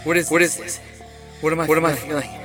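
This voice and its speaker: Guy acting entranced man, bit higher voice, halting and confused, horror drama with music. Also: steady tone, close to an everyday voice. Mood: entranced, bewildered. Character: entranced, bewildered male rock singer under evil influence. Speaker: actor in an audio drama.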